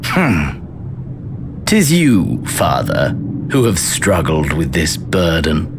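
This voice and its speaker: An actor playing a cold, arrogant prince and bloodthirsty hunter in a video game, speaking in a haughty, archaic way. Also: male voice, a bass voice, wildly swooping pitch, noisy background, heavily acted. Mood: cold disdain. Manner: haughty, archaic, deliberate speech. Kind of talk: theatrical video game cutscene acting. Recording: loud music behind the voice. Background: loud cutscene music.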